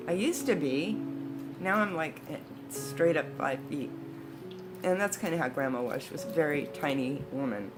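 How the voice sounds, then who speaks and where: A woman's voice: rumbling low, low-quality recording. An American woman in her sixties telling personal memories in a casual, reflective, conversational way. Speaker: storytelling vlogger. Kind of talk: personal reminiscing, casual storytelling.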